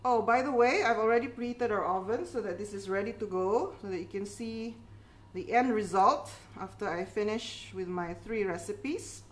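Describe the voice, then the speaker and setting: A middle-aged woman talks as a home cooking host. Her voice sits at a medium pitch. She is encouraging and informal, explaining each step as casual chat and often adding small tags to check that listeners follow. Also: normal speed. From Philippines. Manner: encouraging, chatty, reassuring, with tag questions like okay. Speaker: home baking instructor and cooking show host. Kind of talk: casual cooking demonstration, code-switching chat.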